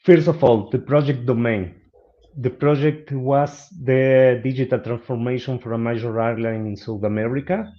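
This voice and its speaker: A man's voice: mid-range voice, fairly even intonation, normal speed. A middle-aged man from Argentina with an Argentine Spanish accent, presenting as a guest speaker in a webinar. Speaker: guest speaker, business analyst. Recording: dull lo-fi online call audio.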